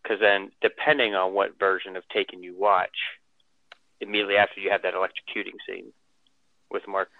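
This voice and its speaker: Man calling in to a podcast, low gravelly voice, phone-line sound, dry. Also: level tone, brisk, no room sound. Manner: reads the synopsis aloud, then chats loosely. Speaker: co-host. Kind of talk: casual podcast chat.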